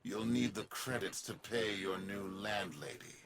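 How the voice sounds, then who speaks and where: Bright, sneering, greedy villain. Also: male voice, lowish voice, steady tone, measured pace, a little hiss or hum. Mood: gloating, spiteful. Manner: theatrical, sneering, mock-courteous. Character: Neff, smug greedy landlord villain, sneering wheeler-dealer. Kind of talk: video game voice acting.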